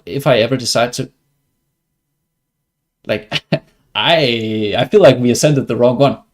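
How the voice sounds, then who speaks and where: A man from Denmark with a Danish accent, chatting casually and wryly as he comments on his livestreamed gameplay. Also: mid-range voice. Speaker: game streamer.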